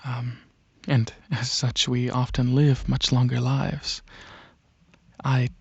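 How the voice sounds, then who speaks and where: A soft, gravelly dragon alien prince played by a man speaks in an ASMR roleplay, slowly and hesitantly. His delivery is gentle and coaxing, with long pauses. The close recording is dry and slightly muffled. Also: mid-range voice, little pitch movement, no room sound, heavily acted. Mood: calm, explaining. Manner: hesitant, unhurried, soothing, with frequent pauses. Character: gentle dragon alien prince, centuries-old royal researcher, softly coaxing. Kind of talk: ASMR roleplay, soft-spoken monologue to the listener.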